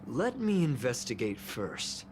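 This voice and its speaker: An actor playing an excitable young space station investigator who puzzles aloud in scripted game dialogue. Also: medium pitch, unhurried, noisy background. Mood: puzzled, uneasy. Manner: animated, pondering aloud. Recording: background music under dialogue.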